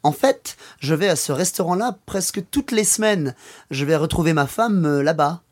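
A man's voice: on the high side, lively intonation, brisk. A man playing a friendly, helpful passer-by in a language-course dialogue, polite and conversational. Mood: chatty. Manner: polite, conversational, natural hesitations.

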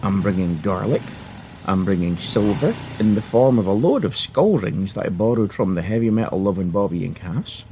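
A man telling an adventure story to children in a playful, animated style with comic understatement. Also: on the low side, normal speed, slight background noise. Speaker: podcast host and storyteller.

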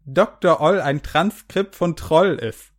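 A man with a somewhat high voice banters in a group podcast. He speaks informally, as if among friends. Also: slow. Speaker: podcast participant.

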